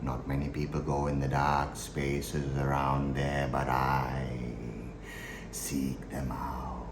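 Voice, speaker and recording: A man performing a theatrical monologue as a chillingly matter-of-fact, darkly amused killer, with deliberate dramatic pauses and shifts between hushed and forceful delivery. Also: rumbling low, completely flat delivery. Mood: quiet menace. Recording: roomy echo, faint background noise.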